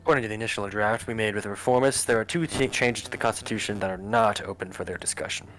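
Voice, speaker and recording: A man voicing a formal government adviser who briefs the president in a measured, expository way in a political game. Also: fairly low pitch, a bit bright, lightly acted, low-quality recording. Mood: formal briefing. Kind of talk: scripted political game voice-over. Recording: music under the voice. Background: background music.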